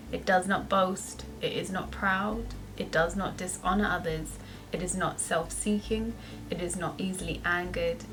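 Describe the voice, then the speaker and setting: A woman's voice: on the low side, poor audio. A young woman vlogger who answers viewer questions in a chatty, upbeat way and laughs often. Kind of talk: casual Q&A vlog.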